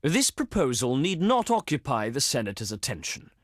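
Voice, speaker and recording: A man voicing a pompous, self-important Roman senate envoy in a video game, with oratorical, deliberate delivery. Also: medium pitch, big pitch swings, dry recording, clean studio sound. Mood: scornful refusal. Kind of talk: scripted video game diplomacy voice acting.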